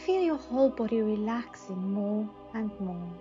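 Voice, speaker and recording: A woman leading a guided meditation in a soothing manner, speaking in short phrases with long pauses. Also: medium pitch, lively intonation. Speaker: psychic advisor, meditation guide. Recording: slightly dull audio. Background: faint music.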